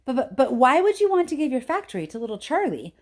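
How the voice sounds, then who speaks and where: A woman voicing an astonished, excitable old grandfather who stammers and exclaims, from a storybook. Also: high voice, quick pace, voice pushed up.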